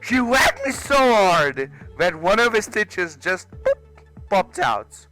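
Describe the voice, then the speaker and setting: A man's voice: high-pitched, sing-song, slow pace. A young man, telling a personal story casually with exaggerated comic emphasis, over music. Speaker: video creator.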